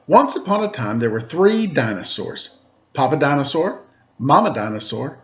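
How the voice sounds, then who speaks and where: A man's voice: mid-range voice, dull audio, a little hiss or hum. A man reading a children's picture book aloud as a storyteller, playful and mock-innocent, with casual asides.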